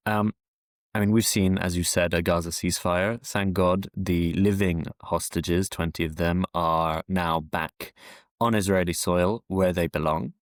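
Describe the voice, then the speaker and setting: Deep-voiced man, measured interview guest. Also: fairly even intonation, no room sound, clean studio sound. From France. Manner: measured, with frequent restarts and fillers. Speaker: podcast guest, historian. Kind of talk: conversational interview answers. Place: London, UK.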